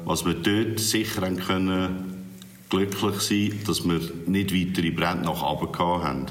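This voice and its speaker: Deep-voiced middle-aged Swiss man, echoey. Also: steady tone, normal speed. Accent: Swiss German. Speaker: fire brigade commander. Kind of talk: spontaneous interview answers. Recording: echoey room.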